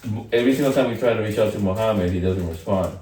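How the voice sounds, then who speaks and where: A low-pitched man talks shop in a relaxed, easygoing way, dropping "you know" as he goes. The sound is echoey, with the mic set back from him. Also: quick pace. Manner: relaxed, says "you know" and "yeah" often. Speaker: podcast co-host, real estate agent. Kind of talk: casual chat. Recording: roomy sound from a distant mic.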